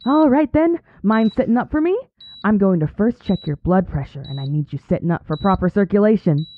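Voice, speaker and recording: A woman's voice: mid-range voice, lively intonation, fairly quick, narrow, tinny audio, slight background noise, natural voice. A woman playing a chatty, saccharine nurse with an unsettlingly careless manner in an audio drama. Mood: sugary, fussing. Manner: sugary endearments, breezy, chattering.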